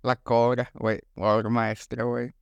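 Slangy Monterrey man, smooth dry voice. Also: mid-range voice, level tone, a little slow, no room sound, muffled recording. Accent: northern Mexican (Monterrey). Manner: slangy, rambling, says "güey" constantly. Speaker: podcast co-host. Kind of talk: casual chat.

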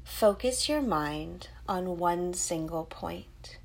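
A woman guides a meditation at a very slow, unhurried pace. Her voice sits a little low for a woman. She gives soothing instructions in short phrases, leaving space between them. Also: animated, vocal fry, low-quality recording. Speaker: meditation guide and podcast host.

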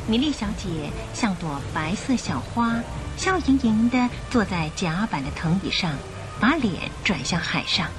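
A woman narrating a radio drama in an expressive storytelling style. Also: medium pitch, unhurried, rough recording. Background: music.

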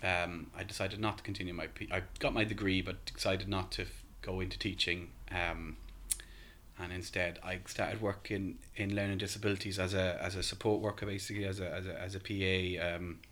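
A man in his thirties with a soft Irish accent, a podcast guest talking casually with lots of ums and restarts. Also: low-pitched, little pitch movement, brisk. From Ireland. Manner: hesitant, many ums and restarts.

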